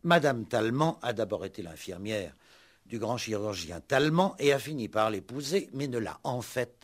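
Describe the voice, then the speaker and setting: An actor playing a shrewd, ironic police commissioner in a 1960s French radio play, speaking with deliberate, mock-courteous drama. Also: male voice, mid-range voice, lively intonation, lightly acted. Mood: pointedly accusing. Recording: old 1960s radio recording.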